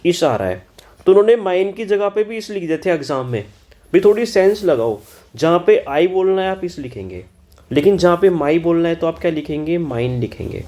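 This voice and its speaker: High-voiced man teaching German in Hindi. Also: expressive, warm tone. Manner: scolding, repeats mistakes students made; spells out German words slowly. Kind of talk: exam-solution lesson in Hindi.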